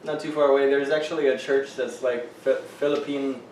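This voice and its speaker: A man hosting a casual podcast at home, joking and teasing his guest and laughing loudly. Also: medium pitch, steady tone, a little fast. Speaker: podcast host. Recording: roomy, distant mic with faint noise.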